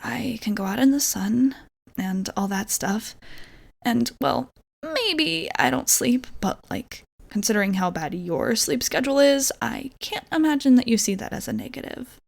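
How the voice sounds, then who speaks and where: A woman playing a shy, apologetic vampire roommate in an ASMR roleplay, speaking hesitantly and gently, often dropping toward a whisper. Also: on the high side, expressive, measured pace, vocal fry, exaggerated voice. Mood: nervous, reassuring. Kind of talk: ASMR roleplay, softly acted monologue.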